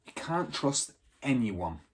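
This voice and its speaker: A man voicing a blunt, suspicious boy who leads a storybook gang, speaking forcefully and scornfully. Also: medium pitch, sing-song, slow, slight background noise.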